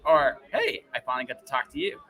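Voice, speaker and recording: A man's voice: mid-range voice, huge pitch swings, fast, sharp tone. A man hosting a casual, enthusiastic interview, rambling and repeating phrases for emphasis. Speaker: podcast host interviewing a guest.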